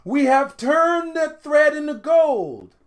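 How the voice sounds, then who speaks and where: A man's voice: very high voice, sing-song, slow, pitched above the performer's own voice, exaggerated voice. A man voicing a proud, confident eldest brother in a storybook, declaiming emphatically. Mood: excited, proud.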